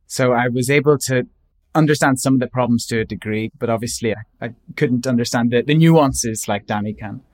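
Male translator, interview guest, reflective and measured, mid-range voice. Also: medium pitch, a little mellow. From Northern Ireland. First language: English. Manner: measured, reflective, with frequent restarts. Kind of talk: thoughtful interview answers.

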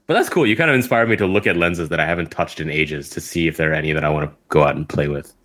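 A man in a casual chat, animated and quick to react with interest. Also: extremely low pitch.

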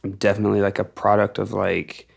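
Podcast guest: young American male musician, low-pitched with plenty of fry, informal rambling storyteller. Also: monotone, fast talker. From Texas, United States. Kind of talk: casual storytelling in an interview.

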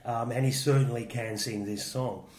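A man's voice: mid-range voice, steady tone, moderate pace, darkish tone. A man co-hosting a casual chat that reviews song contest entries, wry and teasing as he reads out facts. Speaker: co-host. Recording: roomy echo with faint noise.

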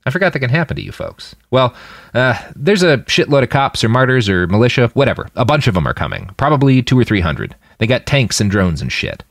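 A man's voice: mid-range voice, big pitch swings, fairly quick, vocal fry, dry recording, voice pushed up. A man acts out a battle-hardened post-human fighter in an audiobook. He is sardonic and offhand, swearing casually, with hugely swinging intonation and a close, dry sound. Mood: brisk, casual. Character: gruff irreverent blade-armed post-human male fighter, cheerfully callous. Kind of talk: fiction audiobook character voice.

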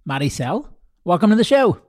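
A man's voice: high voice, sing-song, fairly quick, noisy background. A man hosting a podcast, introducing the show and interviewing a guest.

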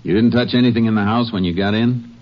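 An actor playing a calm, methodical Texas Ranger in a 1950s western radio drama, American, asking measured, matter-of-fact questions. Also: male voice, fairly low pitch, lightly acted. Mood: probing, calm. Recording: muffled old radio recording with noise.

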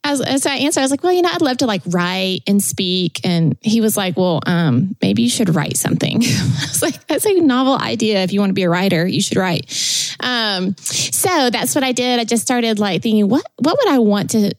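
A woman's voice: medium pitch, expressive, fairly quick, no room sound, rough recording. A woman in her thirties from Tennessee, a podcast guest telling her story in a chatty, rambling way, laughing often between fillers. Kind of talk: casual interview storytelling.

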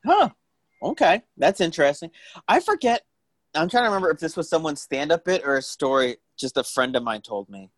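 A man's voice: a little high, sing-song, a little fast, dry recording, clean studio sound. A man in his late thirties co-hosting a casual chat, joking and sardonic, filling his talk with "like". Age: 30s.

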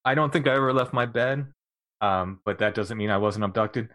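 Man, middle-aged, deep-ish voice, dry sound; halting interview storyteller. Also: a bit bright, no room sound. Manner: halting, many restarts and fillers, occasional laughs. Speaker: guest recounting experiences.

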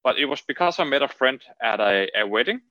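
A man with a Danish accent talks as an interview guest in a dry recording. He is conversational and halting, often doubling back on his words. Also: medium pitch, lively intonation, bright, forceful tone, dry recording, low-quality recording. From Denmark. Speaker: podcast guest, trading entrepreneur.

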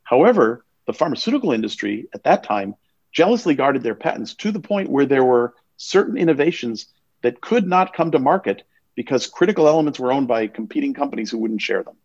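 A man speaking as an investor on an online panel, explaining his views in a reflective, measured way with some restarts. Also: mid-range voice, very animated, darkish tone, slightly rough, no echo, muffled recording. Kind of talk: conversational online panel talk.

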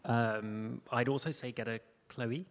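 A man speaking informally as a panel guest, in a halting way with many restarts. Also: mid-range voice, fairly even intonation. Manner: hesitant, halting, restarts often. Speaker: panel guest, audio drama producer. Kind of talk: informal spoken anecdote on a panel. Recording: echoey hall with long reverb.

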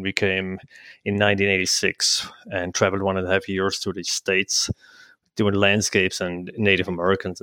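A man's voice: low-pitched, a bit bright, no echo. A man in his fifties from Switzerland with a Swiss accent, a guest travel photographer chatting casually and self-deprecatingly. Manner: self-deprecating, chuckling, with restarts. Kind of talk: casual interview chat.

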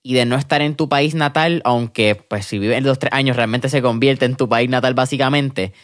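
A man hosting a casual interview podcast, relaxed and tossing English words into his Spanish. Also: mid-range voice, normal speed, no echo, studio quality. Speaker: podcast host.

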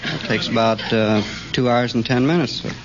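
A man's voice: mid-range voice, moderate pace. A man appearing as a contestant on an old radio quiz, answering the host in a polite, plain, slightly hesitant way. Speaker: contestant, ship's purser. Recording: dull, very noisy vintage radio recording.

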